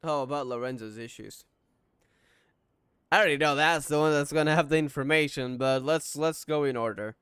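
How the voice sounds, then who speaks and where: A man giving casual playthrough commentary as a streamer, thinking aloud in a low-key, self-deprecating way. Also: fairly quick, fairly bright tone, smooth, dry recording, studio quality. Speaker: gaming streamer. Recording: game music behind the voice.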